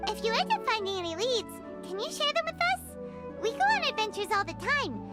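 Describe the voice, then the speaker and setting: Squeaky tiny fairy sidekick, super high and bouncy, cartoony game voice, music loud behind. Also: female voice, fairly quick. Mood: eager. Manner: bubbly, expressive. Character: tiny childlike fairy companion, chirpy, eager, naive. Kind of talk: cartoonish video game voice acting.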